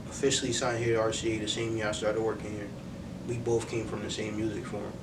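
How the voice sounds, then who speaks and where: A man's voice: flat delivery, fast, recorded from a distance. Young man in a noisy, roomy interview. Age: young adult. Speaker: interview guest, music A&R. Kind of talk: casual sit-down interview. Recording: roomy, off-mic, noisy with low rumble.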